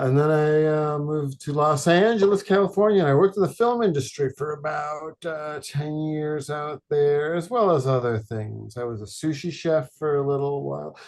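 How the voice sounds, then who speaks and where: A man from Detroit, a podcast guest, chatting casually in a halting, rambling way with many fillers and deadpan jokes. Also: on the high side, gravelly. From Detroit, Michigan. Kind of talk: casual chat.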